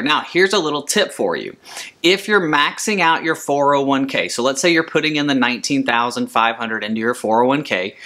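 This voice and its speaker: A male host with a bright, crisp-edged voice at a middle pitch. He delivers prepared advice as a financial counselor would, directly to the listener. His manner is emphatic, and he repeats key points to drive them home. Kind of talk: scripted explainer talk to camera.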